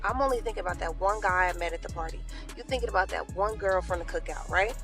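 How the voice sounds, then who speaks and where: A woman's voice: mid-range voice, quick pace, a bit bright, soft background music. Casual woman explaining to the camera. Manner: conversational, explanatory, checks in with "right?". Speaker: clip speaker.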